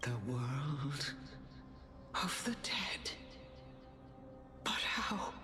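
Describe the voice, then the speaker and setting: An actress playing a weary, kindly elderly storyteller spirit in a video game, with a grave, halting, breathy delivery. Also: female voice, very deep voice, lively intonation, in a whisper, exaggerated voice. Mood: bewildered. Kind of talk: scripted video game voice acting. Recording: game audio under clear music.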